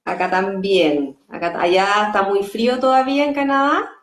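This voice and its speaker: A woman's voice: mid-range voice, moderate pace. A woman conducting a conversational interview as the host, over a remote call. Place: indoors, remote online call. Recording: roomy, echoey video call.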